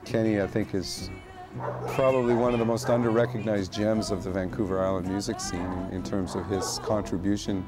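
A middle-aged man speaks in a very low, plain voice outdoors. He talks with easy fillers between thoughts. Loud music runs behind him. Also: level tone, fairly quick. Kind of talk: interview. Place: outdoors among trees.

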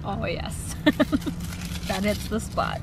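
A woman's voice: mid-range voice, steady tone, slow pace, background noise. A woman in her twenties chatting cheerfully to a handheld camera, often giggling. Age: 20s. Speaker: vlogger. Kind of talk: casual vlog chat to camera. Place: kitchen indoors, then outdoors and in a car. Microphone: handheld camera at arm's length.

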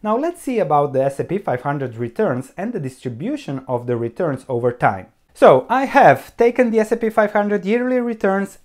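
Animated man in his thirties explaining. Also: on the high side. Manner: animated, gesturing with both hands, emphatic. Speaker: investing video host. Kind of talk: solo explainer to camera. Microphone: lapel mic.

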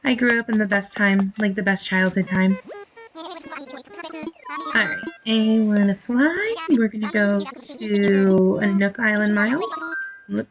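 Female game streamer, thirties, mid-range voice, relaxed rambling chat that thinks out loud, over soft guitar music. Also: medium pitch, takes it slowly, pauses often, rough recording. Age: 30s. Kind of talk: casual chat while playing a game.

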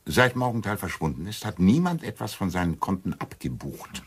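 A man's voice: low voice, expressive, normal speed, a little hiss or hum. An actor playing a pedantic, skeptical, dryly sarcastic male amateur sleuth in a German crime radio drama. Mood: matter-of-fact.

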